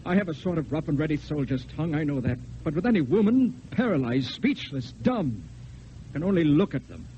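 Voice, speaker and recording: An actor playing an insecure young soldier in love, earnest and halting, in old-time radio drama style. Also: male voice, on the high side, very animated, dark tone. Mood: anguished, self-doubting. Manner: halting, self-deprecating. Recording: dull lo-fi old radio, faint hiss.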